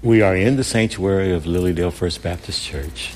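A pastor in a church sanctuary telling a story in a relaxed conversational interview. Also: male voice, low voice, brisk. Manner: conversational, recounting with quoted voices.

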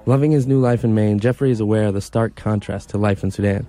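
A man narrating a radio feature in a measured, scripted storytelling manner. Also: lowish voice. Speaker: radio reporter.

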